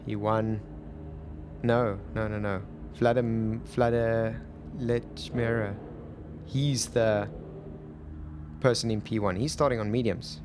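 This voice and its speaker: A man with a South African accent, chatting and thinking aloud as he streams a sim racing game. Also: mid-range voice. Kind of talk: live gameplay commentary. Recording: loud racing game engine noise behind. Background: loud car engine sounds from the game.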